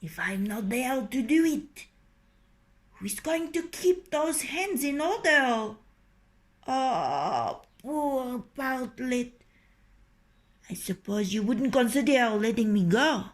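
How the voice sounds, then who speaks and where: Woman reading as a crafty storybook rooster: high, coaxing, slow and pleading. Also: expressive. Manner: wheedling, pleading. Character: clever proud male rooster, pleading.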